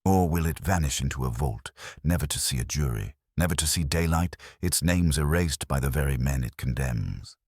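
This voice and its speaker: A man plays an imperious, brooding detective in an audiobook. The voice is extremely deep and husky, and every phrase comes out dry, formal and learned. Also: normal speed, few pauses, a little mellow, no echo, exaggerated voice. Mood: grave. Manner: dry, formal, pedantic diction. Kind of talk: audiobook character dialogue.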